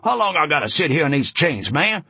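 A man acts out an outraged male witness in an audiobook, chained to a desk and hollering his grievances in a high, strained voice. The voice is gravelly and husky, recorded dry and close. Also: sing-song, brisk, no room sound, exaggerated voice. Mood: complaining. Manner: yelling, indignant. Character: angry chained male witness, loud and profane.

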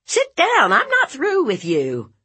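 Woman playing a fierce scolding aunt, high and animated. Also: takes it slowly, heavily acted. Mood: commanding. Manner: emphatic, interrogating, folksy and forceful. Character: fierce, scolding Southern aunt, blunt and protective.

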